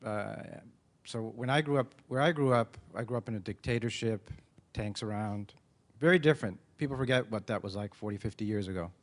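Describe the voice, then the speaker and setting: A man's voice: lowish voice, brisk. Plainspoken man from Ecuador telling his story. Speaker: conference speaker. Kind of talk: personal conference talk.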